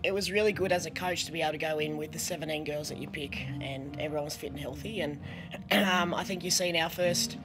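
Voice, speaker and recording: Middle-aged Australian woman coach, slightly hoarse, speaking earnestly at a post-match press conference. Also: deep voice, music in the background. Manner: earnest, halting with many ums, a little hoarse. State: losing her voice. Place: press conference room with sponsor backdrop. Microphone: desk microphone.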